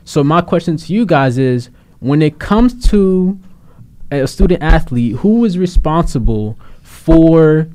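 A man's voice: a little high, expressive, slow pace, dark tone. A young man hosting a casual talk show, rambling a little with fillers and restarts as he sets up topics. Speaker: podcast host.

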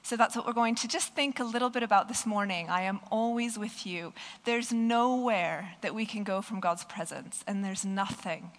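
A woman with a croaky, hoarse voice giving a conversational sermon and reading a children's story aloud. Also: mid-range voice, normal speed, hardly any pauses, long reverb tail. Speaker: preacher.

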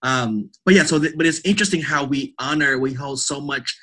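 A man's voice: a little high, a little fast, fairly bright tone. A man speaking casually as an interview guest, restarting thoughts and checking in with "right?" as he reasons aloud. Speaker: podcast guest, theatre artist and academic.

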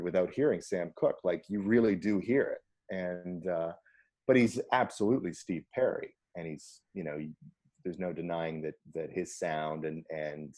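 Deep, mellow man chatting loosely. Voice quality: low baritone voice. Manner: rambling, many ums, laughs often. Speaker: singer. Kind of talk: casual conversation.

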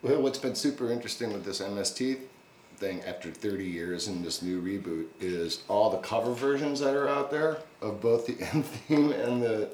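A man's voice: lowish voice, moderate pace, darkish tone. A man talking casually on a podcast, telling rambling stories with many fillers. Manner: rambling, chatty storyteller. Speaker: guest musician. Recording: echoey room with faint noise.

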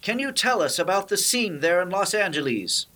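A man's voice: high-pitched, sing-song, normal speed, bright, forceful tone, exaggerated voice. A man playing a formal, emphatic news anchor in a 1930s radio drama spoof. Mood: urgent, formal.

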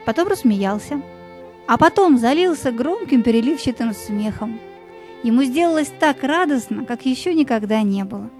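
A woman narrates a scripted fairy tale in a mellow, fairly high voice. The sound is dry and close, with faint music behind her. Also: expressive, normal speed.

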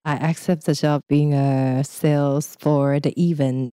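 Thai lady, young, chatty and laughy, low mellow voice, dry close sound. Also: fairly even intonation, measured pace, clear, resonant tone, no room sound. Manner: chatty, laughs often, non-native English. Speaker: guest. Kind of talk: casual interview chat.

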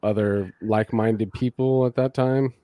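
A man in his thirties co-hosting a podcast, asking the guest questions in a relaxed, casual way. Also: fairly low pitch, measured pace, dry recording. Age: 30s. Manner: relaxed, asks questions in a laid-back way. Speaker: podcast host. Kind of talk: casual interview chat.

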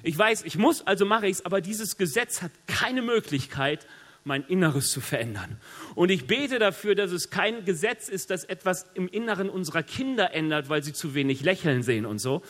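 Man, middle-aged, preacher with a cold, high voice, lively chatty sermon, long hall echo. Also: normal speed. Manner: lively, joking asides, conversational preaching. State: has a cold. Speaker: pastor.

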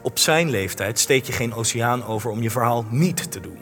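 An actor in a Dutch radio play, playing an earnest, defensive researcher who argues with indignant idealism. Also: male voice, medium pitch, animated, moderate pace, fairly bright tone. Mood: earnest, pleading. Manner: earnest, argumentative. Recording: long reverb, music underneath.

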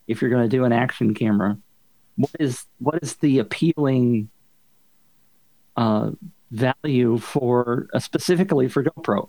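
Male podcast guest, gravelly voice, dry close sound, hesitant casual talk. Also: medium pitch, no room sound, rough recording. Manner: hesitant, starts with fillers. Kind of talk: casual tech podcast chat.

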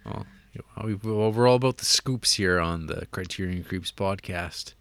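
Lower-voiced Canadian podcast host, halting. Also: male voice, gravelly, fairly bright tone, no echo. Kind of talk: casual chat.

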